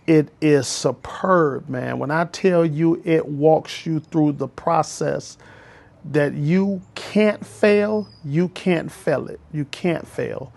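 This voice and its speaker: Man, fifties, porch vlogger; gravelly, slightly high voice, preachy testimony. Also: darkish tone. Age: 50s. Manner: preachy, repetitive, emphatic. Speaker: vlogger and school bus driver trainer. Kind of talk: casual testimony vlog. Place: on his porch outdoors.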